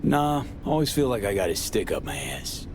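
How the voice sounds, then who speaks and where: An actor playing a gruff, terse mercenary in a video game, talking in a blunt way. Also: male voice, on the low side, sing-song, moderate pace, background noise. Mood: dry, casual. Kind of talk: video game cutscene acting.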